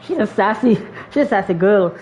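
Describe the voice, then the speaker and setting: A woman's voice: medium pitch, expressive, brisk, a little mellow. A woman giving casual, rambling gameplay commentary in an informal way. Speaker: gameplay streamer. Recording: dull lo-fi mic over game noise.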